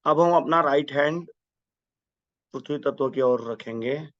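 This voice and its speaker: A man's voice: on the high side, measured pace, a bit bright, no echo. Man guiding meditation, dull dry recording. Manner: reverent, instructional. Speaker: meditation guide. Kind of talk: guided meditation with spoken prayers.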